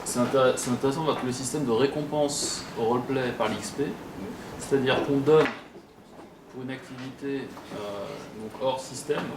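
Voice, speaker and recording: Man, audience member with a halting analytical delivery, medium pitch, far from the mic amid noise. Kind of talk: spontaneous question at a talk. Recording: echoey room, distant mic, clear noise.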